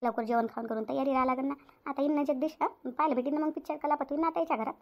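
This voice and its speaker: A woman's voice: sits high, fairly even intonation, racing, dull audio, slight background noise. A woman voicing a harried, dutiful elder sister-in-law in a Marathi cartoon, resigned and chatty, with a rural Varhadi accent. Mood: busy muttering. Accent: rural Maharashtra, Varhadi Marathi. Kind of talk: domestic cartoon story dialogue.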